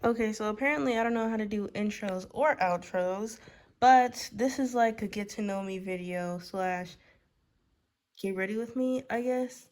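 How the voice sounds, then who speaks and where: A woman's voice: mid-range voice, moderate pace, darkish tone. A 22-year-old woman talking casually about herself in a get-to-know-me vlog while doing her makeup, with lots of "like" and "girl". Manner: chatty, filler-heavy, says "like" and "girl" a lot. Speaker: vlogger.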